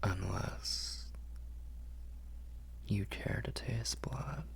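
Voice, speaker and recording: Man acting as slow, whispering, gravelly vampire lord. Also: heavily acted. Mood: fond, teasing. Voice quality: breathy, gravelly, low. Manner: slow, soothing, formal and courtly phrasing. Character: protective, doting, refined male vampire lord. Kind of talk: ASMR roleplay, intimate whispering and murmuring.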